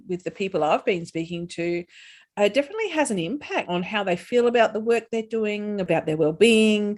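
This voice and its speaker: A woman hosting a podcast. She reads a guest introduction, then chats in an affirming way. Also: mid-range voice. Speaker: podcast host.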